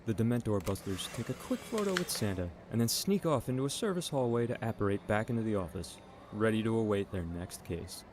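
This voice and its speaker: A man's voice: lowish voice, animated, fairly quick, darkish tone, slight background noise, close to an everyday voice. A man playing the dramatic, playful narrator of a holiday adventure audio drama. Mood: storytelling.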